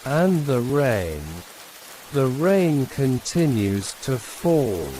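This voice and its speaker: A man narrating a sleep story in a calm, hushed, soothing manner, leaving long pauses between short phrases. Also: medium pitch, low-quality recording. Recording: steady rain and hiss behind voice. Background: rain.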